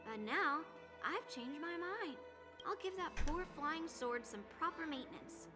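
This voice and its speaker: A proud young swordswoman from a video game. Her voice is high and girlish, haughty and stubborn, with clear music underneath. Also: expressive, unhurried. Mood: huffy. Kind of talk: video game character dialogue. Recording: music behind the voice.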